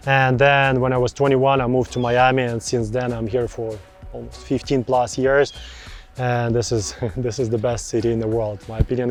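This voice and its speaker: Chatty Russian-accented man in his thirties. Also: medium pitch. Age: 30s. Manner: chatty, repeats words for emphasis, non-native grammar. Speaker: real estate broker. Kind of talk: casual answers to viewer questions.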